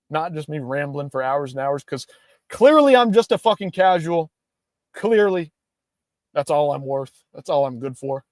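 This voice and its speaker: A man's voice: a little high, dry recording, clean studio sound. Profane hyped-up man ranting on stream. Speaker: livestream host. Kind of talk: casual livestream chat.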